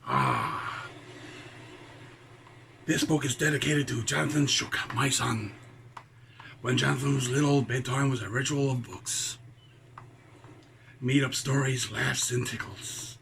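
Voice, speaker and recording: A man's voice: medium pitch, expressive, slow, vocal fry, pauses often, a bit bright, full character voice. A man voicing a salty pirate who reads a children's storybook, chatting to his mateys with pirate talk and chuckles. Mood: jolly, easygoing. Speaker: storybook reader. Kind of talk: children's read-aloud with chatty asides. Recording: noisy, with background ambience.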